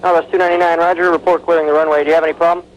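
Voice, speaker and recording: A man working as a tower controller, brisk and procedural over aviation radio. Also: fairly high pitch, level tone, muffled recording. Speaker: air traffic tower controller. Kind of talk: radio air traffic control. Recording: noisy air traffic radio recording.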